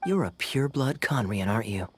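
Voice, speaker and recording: Man playing a suave, teasing swordsman, animated delivery. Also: mid-range voice, brisk, a little hiss or hum, natural voice. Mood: wry, thoughtful. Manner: smooth-talking, wry, playful, theatrical. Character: suave, teasing young swordsman with hidden past. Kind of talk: video game voice acting.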